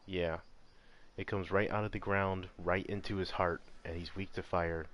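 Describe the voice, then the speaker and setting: A man giving casual, halting gameplay commentary as he explains things while playing. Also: low-pitched, level tone, fairly bright tone. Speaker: video game streamer.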